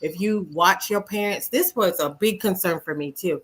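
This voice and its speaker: A woman's voice: fairly low pitch, moderate pace, husky. A woman hosting a casual live stream, speaking emphatically and throwing rhetorical questions at her listeners. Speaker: host.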